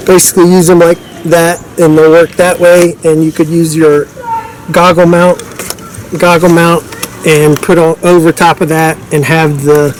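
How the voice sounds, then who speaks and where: Casting: male product demonstrator, slightly high voice, informal and off the cuff, some background noise. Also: rough recording. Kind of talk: casual unscripted product demonstration.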